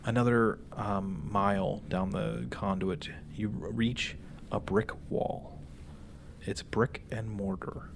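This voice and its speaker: A man acting as game master, narrating a tabletop game casually and haltingly, with informal pauses. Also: lowish voice, steady tone, gravelly, slight background noise.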